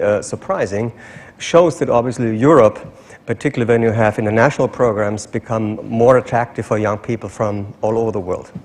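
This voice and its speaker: A man's voice: medium pitch, a little mellow. A man with a German accent giving answers as a university leader in a panel discussion.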